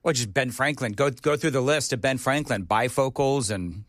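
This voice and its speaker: A man hosts talk radio in a loose, bantering way. His voice sits at an ordinary middle pitch and comes through very dry and close, with no room around it. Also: moderate pace, no room sound. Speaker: radio talk show host.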